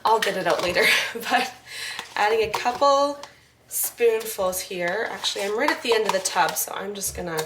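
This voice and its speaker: A woman's voice: mid-range voice, moderate pace. A woman in her thirties with slight congestion from a cold, chatting casually in a cooking vlog at home. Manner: chatty and rambling, with many "like"s and restarts. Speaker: vlogger mom cooking for her family. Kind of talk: casual cook-with-me chat. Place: home kitchen, indoors. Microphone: camera set in a kitchen cupboard. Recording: clear background room noise.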